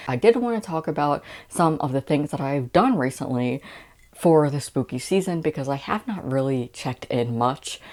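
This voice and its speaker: A woman's voice: low voice, darkish tone. A woman chatting casually as a book vlogger, with an enthusiastic, gushing way of talking. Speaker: book reviewer and reading vlogger. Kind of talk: casual chat to camera.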